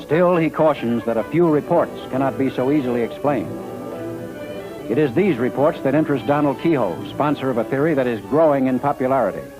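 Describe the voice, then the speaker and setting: A man's voice: mid-range voice, lively intonation, brisk, poor audio. American man narrating a newsreel over music. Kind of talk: 1950s newsreel scripted narration.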